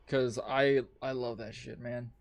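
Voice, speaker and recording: Dude streamer rambling while he games, swears a ton, laughs a lot, mid-pitched voice. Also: little pitch movement, a little slow. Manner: rambling, profane, laughs often. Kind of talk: casual chat while gaming.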